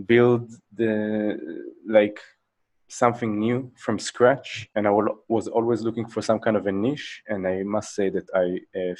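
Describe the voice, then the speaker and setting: Low-voiced man, halting. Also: a little mellow. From Israel. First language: Hebrew. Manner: halting, many fillers and restarts, laughs often. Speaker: guest. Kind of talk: casual interview chat.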